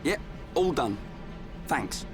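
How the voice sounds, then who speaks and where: A man's voice: mid-range voice, animated, slow. An actor voicing a bluff, confident young male soldier in a video game cutscene, talking bluntly and casually. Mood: matter-of-fact. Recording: music behind the voice. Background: music.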